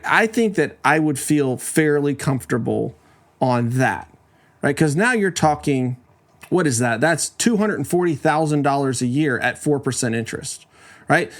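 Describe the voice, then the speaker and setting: A man in his forties, hosting a podcast, talking through money math in a casual, conversational way with lots of rhetorical questions. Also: on the high side. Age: 40s. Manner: conversational, explains numbers step by step, asks rhetorical questions. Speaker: podcast host.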